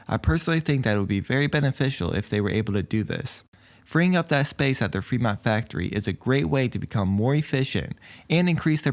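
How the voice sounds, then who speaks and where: Measured man narrating news commentary. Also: mid-range voice. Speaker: YouTube host commenting on company and investing news.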